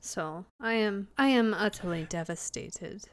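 A woman's voice: on the high side, expressive, slow pace. A woman playing a composed country-club widow in a put-on transatlantic lilt, clipped and prim.

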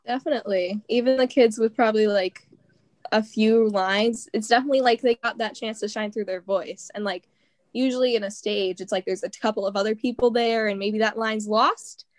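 A teenage girl from a high school drama club, talking enthusiastically in a casual interview. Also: fairly even intonation, fairly quick, no room sound. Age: teens. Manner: enthusiastic, gushing.

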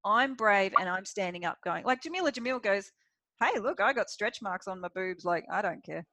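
A woman hosting a casual interview chat, talking in an animated, chatty way with many fillers. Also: medium pitch, normal speed, dry recording. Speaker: podcast host.

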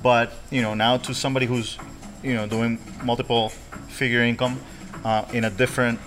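A man hosting a podcast in casual chat, halting and repeating his words. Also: medium pitch, flat delivery, fairly bright tone. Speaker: podcast host. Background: music.

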